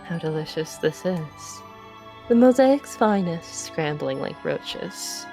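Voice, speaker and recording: A woman's voice: low-pitched, lively intonation, slow pace, vocal fry, warm tone, faint music behind, exaggerated voice. A woman voicing an arrogant, sadistic would-be goddess in a visual novel, haughty and theatrical as she savors her words. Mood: gloating. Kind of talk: dramatic visual novel monologue.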